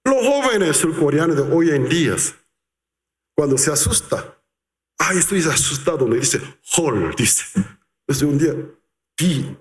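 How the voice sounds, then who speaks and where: Male pastor, unhurried lively sermon delivery, reverberant church hall sound. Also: a little high. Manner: animated, acts out quotes, slows for emphasis.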